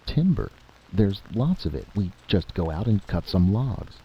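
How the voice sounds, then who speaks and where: A man's voice: low voice, big pitch swings, a little slow, slightly rough, muffled recording, voice pushed up, heavily acted. A man voicing an earnest, resourceful male inventor in a time travel story, practical and plainspoken.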